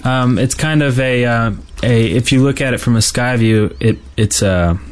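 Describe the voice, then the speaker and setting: A man's voice: medium pitch, moderate pace, clear, resonant tone. A man co-hosting a casual gaming podcast, talking in a hesitant, rambling way with trailing thoughts. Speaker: podcast co-host.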